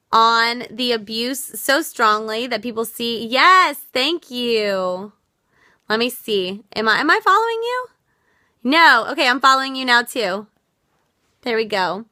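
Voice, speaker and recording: A woman's voice: a little high, sharp tone, no echo, clean studio sound. A woman from the United States hosting a casual live stream, earnest and reflective as she answers viewer comments, her voice worn out. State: losing her voice. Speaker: livestream host.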